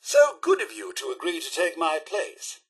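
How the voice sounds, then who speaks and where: A man voicing a fussy, gentlemanly robot butler with a posh British accent and an exclamatory manner, in video game voice lines. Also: high-pitched, sing-song, normal speed, creaky, slightly rough, heavily acted. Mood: polite, attentive. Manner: posh, theatrical, polite, exclamatory. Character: eager, fussy, gentlemanly robot butler, male, itching to fight.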